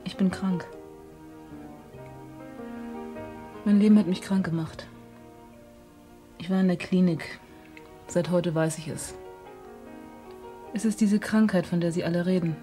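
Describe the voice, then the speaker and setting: An actress playing a wistful, lovestruck woman in a romantic radio drama, breathy, intimate and confiding. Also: fairly low pitch, steady tone, normal speed, pauses often, mellow, soft background music, close to an everyday voice. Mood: grave confession.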